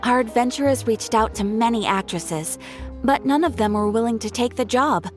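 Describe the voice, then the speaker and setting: A woman's voice: a little high, moderate pace, faint music behind, natural voice. An actress playing a polite, formal female guild receptionist in a video game.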